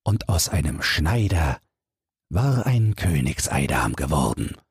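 A very husky man with a low voice reads a bedtime fairy tale. He takes his time, phrasing each sentence deliberately as an audiobook narrator. The recording is close and dry. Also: big pitch swings, no room sound. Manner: measured, storybook reading with deliberate phrasing.

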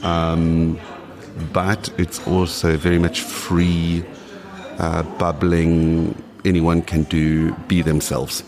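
Deep gravelly South African man. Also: level tone, slow pace, crowd noise. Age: late 40s. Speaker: podcast guest. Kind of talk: casual conversational interview.

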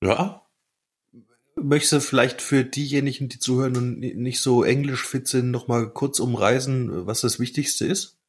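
A man's voice: medium pitch, steady tone, normal speed, husky. A man chatting casually as co-host of a podcast. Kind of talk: casual chat.

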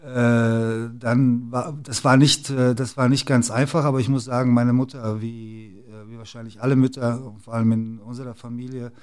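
A man's voice: mid-range voice, little pitch movement, normal speed, hardly any pauses, a little mellow, clear, resonant tone. A middle-aged man from the former Yugoslavia, a guest in an interview, who talks with many fillers and restarts. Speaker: interview guest, basketball club executive. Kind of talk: casual interview talk.

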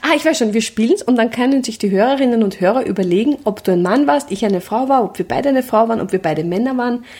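A woman's voice: a little high, expressive, fast, hardly any pauses, darkish tone. A woman with an Austrian accent in casual improvised comedy chat as a podcast co-host, bantering dryly.

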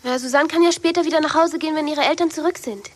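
A woman's voice: high voice, quick pace, slight background noise, natural voice. A woman acting a polite, obliging young girl in a German children's audio drama. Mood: matter-of-fact.

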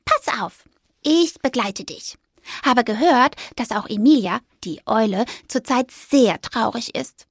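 A woman voicing a small, lively female mouse in a storybook, chirpy and a bit bossy. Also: fairly high pitch, takes it slowly, husky, dry recording, studio quality, full character voice. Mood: eager. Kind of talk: storybook character acting.

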